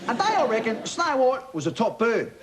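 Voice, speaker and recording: A high-pitched broad Aussie bogan storyteller. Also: male voice, huge pitch swings, moderate pace, heavily acted. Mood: cheeky, matey. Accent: broad Australian. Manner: laid-back, slangy, matey asides. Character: cheeky slangy Aussie bogan bloke reading storytime. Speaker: comic storyteller. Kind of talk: comic bedtime storytelling to a live audience. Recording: TV studio with loud audience laughter, noisy.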